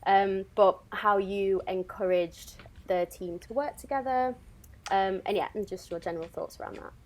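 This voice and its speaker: Female, medium pitch; conversational interviewer from a theatre company. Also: normal speed, a little hiss or hum.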